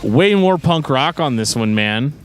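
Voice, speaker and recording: A man's voice: mid-range voice, expressive, unhurried, clean tone. A man co-hosting a podcast, casually discussing music in a sarcastic, joking way. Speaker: podcast co-host.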